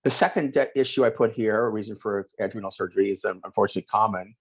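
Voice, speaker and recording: A middle-aged man hosting a webinar, talking quickly with many fillers and self-corrections. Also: fairly low pitch, husky, no room sound. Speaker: host, endocrinologist. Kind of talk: webinar presentation. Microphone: video call.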